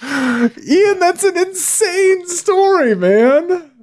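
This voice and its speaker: A man co-hosting a casual podcast, giggly and animated, with a naturally high-pitched voice. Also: extremely slow. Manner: giggly, animated, voice jumps high when excited. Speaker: podcast co-host.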